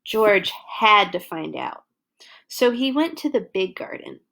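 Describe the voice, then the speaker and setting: A woman hosting a read-along, reading a children's picture book aloud with animated, exaggerated emphasis for young listeners. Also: mid-range voice, measured pace, gravelly, low-quality recording.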